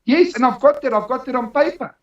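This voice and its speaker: A man's voice: extremely high pitch, a little fast, noisy background. Echoey, distant South African man. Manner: emphatic, repeats phrases for emphasis. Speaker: interview guest, dog trainer. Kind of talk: personal account in a live interview. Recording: roomy, distant, dull sound.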